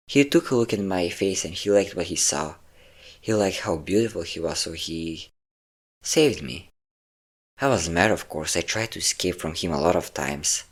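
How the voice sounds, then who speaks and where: A man playing a possessive, obsessive vampire lover, vain and cruel, in an intimate, theatrical roleplay monologue with lingering words and sighs. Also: low voice.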